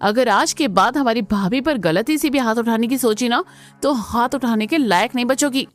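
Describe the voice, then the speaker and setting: A woman's voice: on the high side, normal speed, soft background music, close to an everyday voice. A woman voicing a protective, spirited young sister-in-law with bold, emphatic delivery in a cartoon family melodrama. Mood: threatening.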